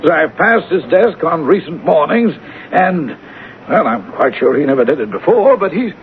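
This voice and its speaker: A man's voice: on the high side, very animated, moderate pace. An actor playing a fussy, stingy, pompous male superior in a radio drama, stammering and dithering with disdain. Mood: puzzled, disdainful. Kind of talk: 1970s radio drama acting. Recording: tinny, noisy old radio recording.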